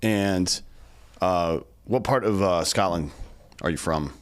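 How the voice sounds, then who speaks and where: A man's voice: deep voice, slow pace, smooth. An American man hosting a podcast interview in a laid-back, joking way, with many hesitations and ums. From United States. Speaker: podcast host. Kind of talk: casual interview conversation.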